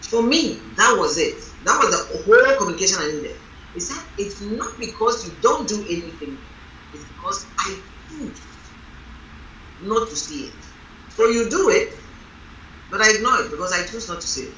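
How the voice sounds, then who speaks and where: Loud, strong-voiced woman in a roomy hall. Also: mid-range voice, animated, normal speed, lo-fi sound, slight background noise. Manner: emphatic, storytelling. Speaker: reverend leading a panel on marriage. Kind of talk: panel discussion. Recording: roomy hall from a distant mic.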